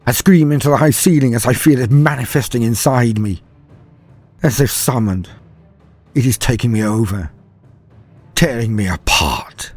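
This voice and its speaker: A man voicing a terrified soldier in agony, telling of his flight in halting, fearful first person as a dramatic horror story. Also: mid-range voice, soft background music, lightly acted. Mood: dazed dread. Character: terrified male soldier fleeing a horde, in agony.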